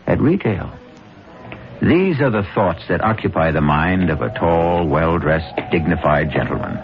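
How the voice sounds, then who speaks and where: A man narrating as the ominous, urbane host of a 1970s radio mystery, deliberate and theatrical, with measured pauses. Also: sits low, noisy background. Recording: muffled old radio recording.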